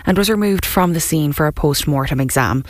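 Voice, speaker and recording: A woman with an Irish accent reading a radio news report in an even, formal delivery. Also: low-pitched, a little fast, no echo.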